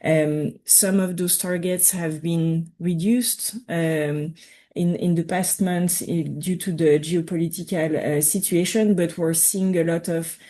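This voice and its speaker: A woman with a Quebec French accent presents to a webinar panel over a video call. Her voice sits low and warm, mellow rather than bright. She talks haltingly, with frequent fillers and restarts. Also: steady tone. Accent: French Canadian. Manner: hesitant, many fillers and restarts. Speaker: utility panelist.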